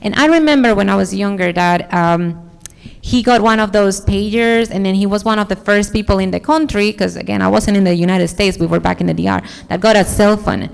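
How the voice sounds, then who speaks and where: A woman's voice: medium pitch, a little fast, creaky, clear, resonant tone. A woman from the Dominican Republic with a Dominican accent, a conference speaker earnestly answering audience questions and searching for her words. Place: large conference hall. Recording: echoey hall reverb.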